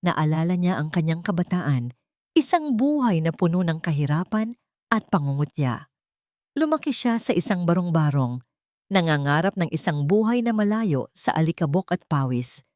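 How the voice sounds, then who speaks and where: A woman's voice: on the low side, big pitch swings, normal speed, darkish tone, no echo, clean studio sound. A woman reading a scripted romantic drama as an audiobook narrator.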